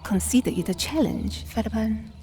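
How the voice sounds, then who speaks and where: A woman's voice: on the high side, moderate pace, warm tone, faint music behind, close to an everyday voice. An actress playing a commanding female partisan leader in a video game, speaking in a firm, guarded, challenging way. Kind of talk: video game cutscene acting.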